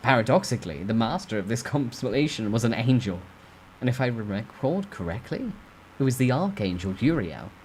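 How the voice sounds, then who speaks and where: A man reading a novel aloud as a storyteller, with expressive, theatrical emphasis in a dramatic first-person narration. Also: mid-range voice, a little fast, a little hiss or hum, rough recording.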